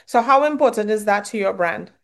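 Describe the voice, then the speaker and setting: A woman with a Trinidadian accent hosting a casual interview, chatty and full of fillers and quick affirmations. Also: medium pitch, expressive.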